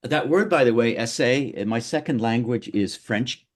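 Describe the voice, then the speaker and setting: A middle-aged man from Canada teaching an online workshop over a video call, halting often with fillers and repeated words. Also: medium pitch.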